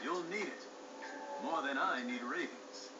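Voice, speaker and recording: A man's voice: medium pitch, a little slow, noisy background, lightly acted. A man voicing a vain, grandiose racing show host with a sly, hammy delivery in a game cutscene. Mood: grand showmanship. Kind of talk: showy announcer acting in game cutscene. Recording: dull game audio with music behind.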